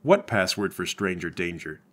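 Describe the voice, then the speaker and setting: A man's voice: sits low, sing-song, moderate pace, a bit bright, pitched below the performer's own voice, full character voice. A man playing a blunt, lazy, self-serving cop with brusque, casual delivery in improvised comedic roleplay.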